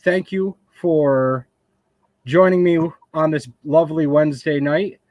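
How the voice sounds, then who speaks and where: A man's voice: fairly high pitch, measured pace, a little mellow, no echo. A man in his twenties hosting a live online fishing interview from a home studio, effusive and complimentary toward his guest. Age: 20s. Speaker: host of a fishing channel.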